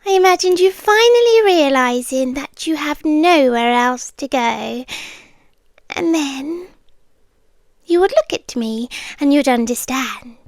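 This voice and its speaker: A woman voicing a possessive, obsessive hive queen in an audio roleplay, speaking slowly and intimately, often in a whisper, sweet and quietly threatening. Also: high voice, expressive, no room sound, exaggerated voice. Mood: possessive, doting.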